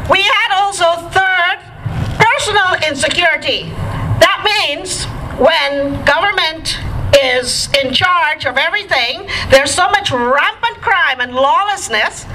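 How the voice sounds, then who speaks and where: A woman's voice: very high-pitched, pushed and bright. A woman from Guyana giving an emphatic political speech to an outdoor crowd by a riverfront. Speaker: rally speaker. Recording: noisy outdoor crowd recording.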